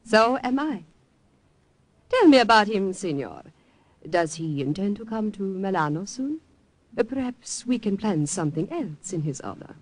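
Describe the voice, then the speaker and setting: An actress playing a composed, inquisitive young secretary in a 1940s radio drama. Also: female voice, medium pitch, very animated, moderate pace, no room sound. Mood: probing. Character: young Italian woman secretary, poised and probing.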